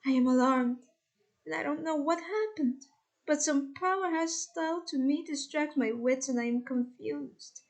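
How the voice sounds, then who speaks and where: Anguished Trojan warrior in epic verse played by a woman, high-pitched, urgent, emotional storytelling. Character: anguished Trojan warrior fleeing a burning city, recounting loss.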